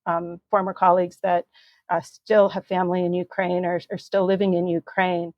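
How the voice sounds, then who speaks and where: A woman author, raised in the United States, explaining history in a measured, thoughtful way with frequent ums. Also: lowish voice, little pitch movement, dry recording, dull audio. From USA. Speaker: author guest.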